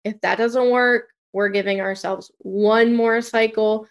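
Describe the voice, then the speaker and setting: A woman's voice: on the high side, steady tone, measured pace, muffled recording. A woman guest on a podcast, speaking casually and tentatively, often searching for the right word. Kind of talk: casual conversation.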